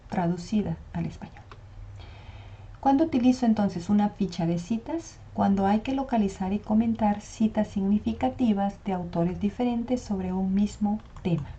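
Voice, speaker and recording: Dark-voiced woman giving a methodical lecture. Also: mid-range voice. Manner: methodical, explains step by step, asks and answers her own questions. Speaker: university lecturer. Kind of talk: online lecture with slides. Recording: dull lo-fi audio.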